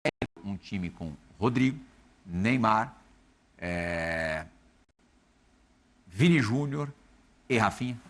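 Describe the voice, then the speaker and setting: A man from Brazil hosting a TV football debate, talking briskly and conversationally while putting questions to the panel. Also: fairly low pitch, expressive, a little hiss or hum. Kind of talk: TV sports debate.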